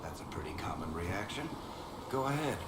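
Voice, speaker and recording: Sardonic male rogue, very deep voice, lively intonation, conversational, worldly, video game dialogue. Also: moderate pace. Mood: dry, sardonic. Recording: roomy echo, clear background noise.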